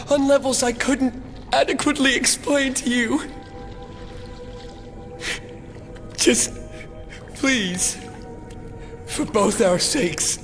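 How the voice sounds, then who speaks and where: An actor playing a wounded, self-justifying young man with an earnest, deliberate manner in an audio drama, music underneath. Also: very high-pitched, a bit bright, full character voice. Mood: troubled, earnest.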